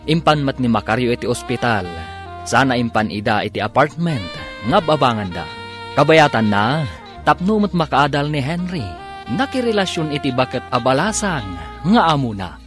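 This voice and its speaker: A man's voice: mid-range voice, animated, normal speed. A man giving dramatic scripted narration as the announcer of an Ilocano radio drama. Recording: narration over prominent musical score. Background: dramatic music.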